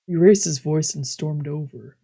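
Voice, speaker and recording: A woman streamer with a breathy voice reading visual novel text aloud as dramatic narration. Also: sits low, normal speed, warm tone. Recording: game music behind the voice. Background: game music.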